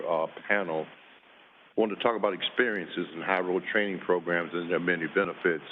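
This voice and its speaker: Man panelist, very deep voice, loud and confident, hissy phone-quality line. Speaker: union campaign lead, panelist. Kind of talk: presentation with slides. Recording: tinny phone-like line with hiss.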